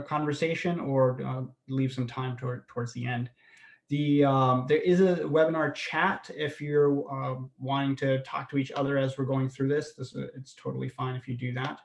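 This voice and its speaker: A man's voice: mid-range voice, vocal fry, echoey room. A man moderating an online panel on a video call, talking hesitantly with many fillers.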